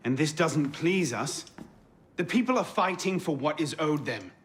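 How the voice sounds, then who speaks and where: An actor playing a sardonic, defiant young assassin in a video game, with a dry, wry delivery. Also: male voice, on the high side, expressive, measured pace, fairly bright tone, slight background noise. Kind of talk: dramatic video game voice acting.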